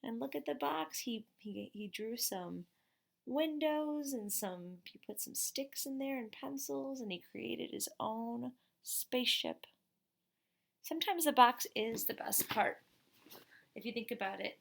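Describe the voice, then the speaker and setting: A woman reading a picture book aloud, expressive, with chatty asides to young listeners. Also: fairly high pitch, measured pace, gravelly.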